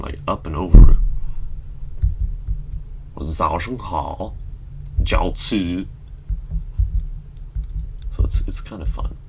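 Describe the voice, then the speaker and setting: A man's voice: extremely low pitch, vocal fry, background noise. A man chatting casually and rambling in a self-deprecating way while playing a computer game on a live stream.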